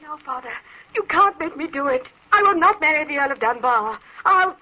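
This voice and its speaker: An actress playing a high-strung, defiant young noblewoman in an old-time radio drama, agitated and emphatic. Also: animated, moderate pace. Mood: defiant, distraught. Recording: muffled old radio recording.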